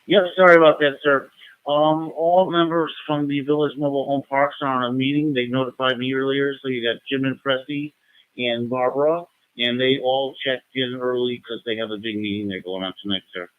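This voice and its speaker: A man's voice: mid-range voice, steady tone, brisk, no echo. A man checking in informally to a net over two-way radio. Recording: tinny, dry two-way radio transmission.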